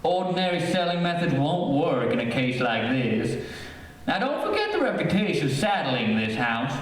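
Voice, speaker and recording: Male actor as eager real estate salesman, folksy, persuasive, slightly high voice, big echo, old-time radio drama. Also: big pitch swings, moderate pace. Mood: breezy persuasion. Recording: distant, cavernous echoey stage, faint noise.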